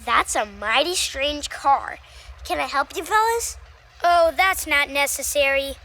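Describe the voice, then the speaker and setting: A woman's voice: high voice, lively intonation, unhurried, sharp tone, a little hiss or hum, exaggerated voice. A woman voicing an eager, innocent, wide-eyed young kid in a children's Christmas puppet show. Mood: eager, curious.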